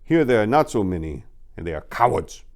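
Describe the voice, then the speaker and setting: A man's voice: fairly low pitch, sing-song, darkish tone, pitched above the performer's own voice. An earnest young immigrant man played by a male narrator. He speaks plainly in simple, careful English, a character in a crime audiobook. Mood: earnest, somber. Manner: simple, formal, halting English.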